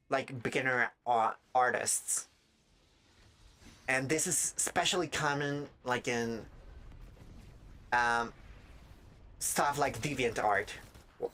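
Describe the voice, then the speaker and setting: Raspy, bright-voiced streamer chatting haltingly. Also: medium pitch, slight background noise. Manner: halting, self-interrupting, trailing off mid-thought. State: dry throat. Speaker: live streamer. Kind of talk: casual rambling chat while gaming, giving art advice.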